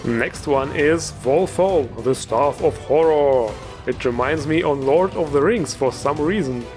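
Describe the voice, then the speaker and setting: Enthusiastic man, higher-pitched, reviewing games. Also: unhurried, over music. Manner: enthusiastic, joking. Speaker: host of a game mod review show. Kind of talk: informal video game commentary. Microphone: voice-over recorded over gameplay music.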